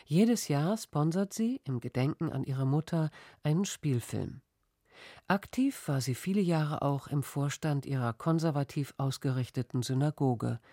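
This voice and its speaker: A narrator reading a documentary script in an even, measured way. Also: mid-range voice, no room sound, clean studio sound.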